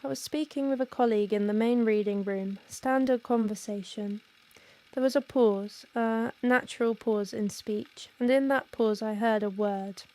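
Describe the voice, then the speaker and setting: A woman acting as a precise, rational researcher with a soft, mellow, fairly high voice. She gives formal, restrained testimony in the manner of found-audio horror. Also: steady tone, moderate pace, close to an everyday voice. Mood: controlled, uneasy. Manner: formal, careful, clinical, restrained.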